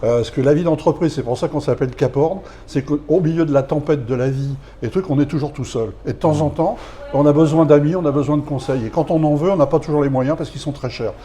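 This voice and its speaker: A man's voice: on the high side, quick pace, mellow, a little hiss or hum. A man who hosts interviews for a business association, asking long, winding questions full of fillers.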